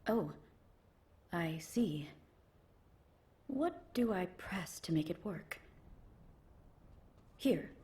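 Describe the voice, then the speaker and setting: An actress playing a bewildered, curious woman from a past century in a video game, hesitant and softly formal in wonder. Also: on the low side, lively intonation, extremely slow, pauses often. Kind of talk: scripted video game dialogue.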